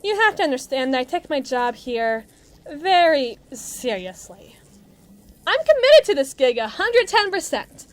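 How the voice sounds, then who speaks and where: Casting: actress for brash female villain muscle, audio drama. High voice, very animated pitch, sarcastic and mocking, tough slangy delivery. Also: a little slow. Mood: defiant, cocky. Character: brash, mean female villain henchwoman, muscle for evil agency. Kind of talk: acted monologue roleplay addressed to listener.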